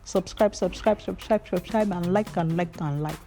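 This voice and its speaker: A young Nigerian woman with a Nigerian accent, a hair tutorial vlogger, mixes slow step-by-step instructions with playful, gossipy storytelling. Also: fairly low pitch, soft background music. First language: Yoruba. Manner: animated, playful, jumps between slow instructions and gossipy asides.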